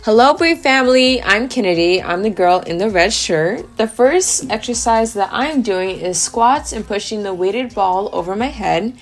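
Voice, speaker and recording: A woman's voice: medium pitch, animated, moderate pace, few pauses. A young adult woman casually narrating exercises as a guest on a vlog. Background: music.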